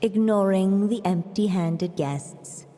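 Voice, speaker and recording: A woman playing a motherly, resolute storybook witch who narrates a video game tale in a measured, formal way. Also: medium pitch, dark tone, close to an everyday voice. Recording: long reverb, music behind.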